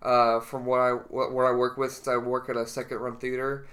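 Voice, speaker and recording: A man's voice: mid-range voice, level tone, brisk, fairly bright tone. A young man co-hosting a casual podcast with a stuffy nose from a cold, talking in a loose, rambling way. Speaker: podcast co-host. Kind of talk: casual chat.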